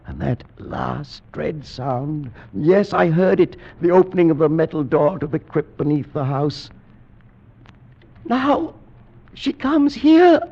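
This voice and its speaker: A haunted, morbid gothic gentleman losing his mind in an old radio horror play. The voice is gravelly and slow, swinging widely in pitch with brooding melodrama. It sounds like a dull vintage recording. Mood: mounting mad terror. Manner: brooding, melodramatic, drawn-out phrasing. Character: morbid, tormented gothic gentleman sliding into madness. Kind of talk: 1940s radio horror acting.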